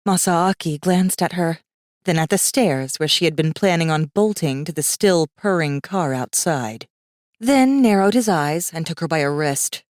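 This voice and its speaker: A woman narrating a fiction audiobook in an expressive, scripted storytelling style. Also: low-pitched, unhurried, no room sound, studio quality.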